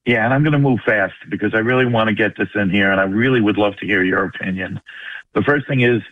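A man's voice: fairly low pitch, fast talker, no room sound. An older man with a New York accent, calling in to a radio show to make his point. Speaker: radio phone-in caller, retired firefighter. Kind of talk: making a point on a call-in show. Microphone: telephone. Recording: tinny narrow phone line, dry.

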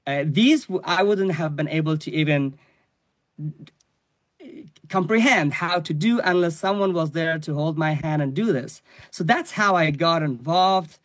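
A man from Afghanistan tells his personal story as a guest on an interview show. His voice sits fairly high, and he speaks in an earnest, measured way with plenty of fillers. He is recorded close and dry, with no room sound. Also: dry recording. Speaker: guest, charity staff member.

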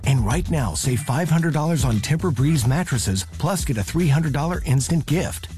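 A man's voice: on the high side, animated, normal speed, a little mellow, soft background music. A man reading a commercial in a reassuring way. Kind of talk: ad narration.

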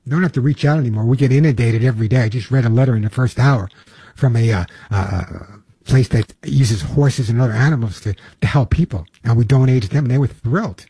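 A man's voice: medium pitch, quick pace, warm tone, slightly rough, no echo. An older man hosting a long-running pet radio show, talking in an emphatic, folksy monologue that repeats its points. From Long Island, New York.

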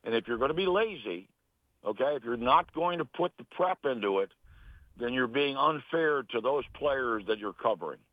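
A man's voice: medium pitch, expressive, normal speed, no echo. An American man, middle-aged or older, speaking as an interview guest in an earnest, emphatic conversational way, repeating phrases for stress. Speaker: interview guest, sports announcer and broadcasting teacher. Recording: tinny phone line.

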